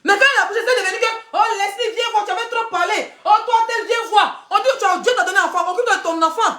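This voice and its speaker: A woman's voice: very high voice, rapid-fire, sharp tone, reverberant. A woman, hosting a rambling gossip live stream and often laughing mid-sentence. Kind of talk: casual live-stream commentary.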